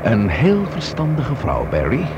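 An actor playing a composed, probing police inspector in a radio drama, measured and polite. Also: male voice, mid-range voice, huge pitch swings, muffled recording. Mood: probing, calm. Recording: noisy old radio play recording.

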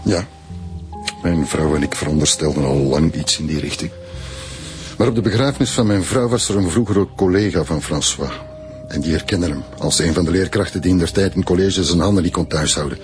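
Very deep-voiced, proud older Flemish man, acted. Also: fairly quick, natural voice. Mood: guarded, wry. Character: indignant, proud older male historian under suspicion. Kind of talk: Flemish radio drama acting. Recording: faint music behind the dialogue.

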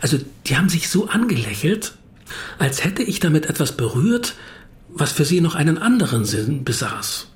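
An actor playing a thoughtful, searching writer, pensive and hesitant, in radio drama dialogue. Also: male voice, a little high, big pitch swings, moderate pace. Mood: pensive recounting. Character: thoughtful, searching male writer telling his story.

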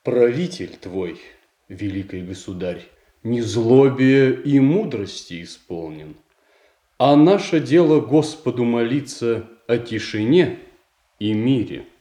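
Reserved holy father in stage drama. Dark, mellow, unhurried voice in an echoing room. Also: mid-range voice, expressive. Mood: pious, reserved. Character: pious, mild, reserved elderly-style churchman. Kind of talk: Russian stage tragedy as radio play.

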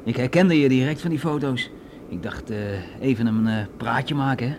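A man's voice: medium pitch, moderate pace, a little mellow, slight background noise, lightly acted. An actor playing a cocky, pushy young man in a radio play, slangy and insinuating, with some hesitation. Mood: feigned casualness. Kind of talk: Dutch radio drama acting.

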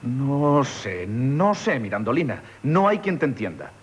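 A man's voice: medium pitch, big pitch swings, a little slow, slightly rough. A man playing a jealous, sulky waiter in a radio comedy, plain-spoken and aggrieved, with a Castilian Spanish accent. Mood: jealous, hurt. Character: jealous, sulky waiter in love with his mistress. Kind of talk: theatrical radio comedy acting.

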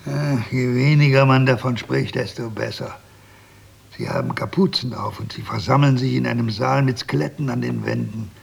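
A man playing a skeptical, simple-minded, grumbling old man with a gravelly, husky voice in a German radio drama. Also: medium pitch, fairly even intonation, moderate pace. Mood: grumbling, wary.

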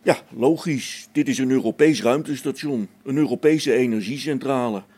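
A man's voice: mid-range voice, moderate pace, darkish tone, breathy edge, close to an everyday voice. A man playing a practical, down-to-earth space-station technician in a radio play, brusque and colloquial. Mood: irritated. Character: practical, matter-of-fact male space-station technician, mildly irritable. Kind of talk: Dutch radio play acting.

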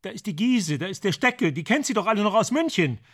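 An anguished radio-play screenwriter, burdened by guilt. The voice sits fairly high and tense, swinging widely in pitch. He speaks haltingly and pleadingly, with desperate agitation. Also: sing-song, a bit bright, full character voice. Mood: pleading, justifying. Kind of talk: German radio play acting.

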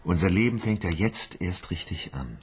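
A man's voice: low-pitched, lively intonation, moderate pace, background noise. An actor playing a naive, childlike grown man who earnestly repeats his girlfriend's sayings. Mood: dreamy. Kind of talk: radio play acting.

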